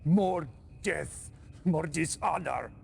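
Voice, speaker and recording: An actor playing a grave male informant in a game cutscene, who speaks haltingly and dramatically and drops to a whisper. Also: fairly high pitch, vocal fry, slight background noise, exaggerated voice. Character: grave male informant warning of a missile threat. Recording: game soundtrack music underneath.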